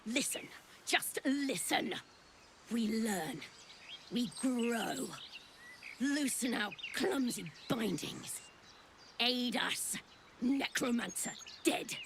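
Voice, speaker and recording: A woman playing a possessed, frantic mouthpiece of an alien hive mind in a video game, speaking in halting, urgent, cryptic bursts. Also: a little high, lively intonation, noisy background, full character voice. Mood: urgent, strained. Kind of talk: dramatic video game acting.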